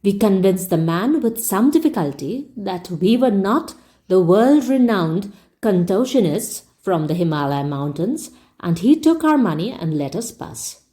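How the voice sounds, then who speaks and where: A woman storyteller reading a story aloud in a measured, expressive, audiobook-style narration. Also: medium pitch.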